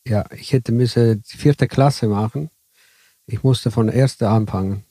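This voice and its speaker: A man from southern Italy speaking German with an Italian accent, telling his story haltingly as an interview guest. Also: medium pitch, mellow, dry recording. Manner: halting, broken German with many fillers. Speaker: guest, bus driver. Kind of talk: casual interview chat.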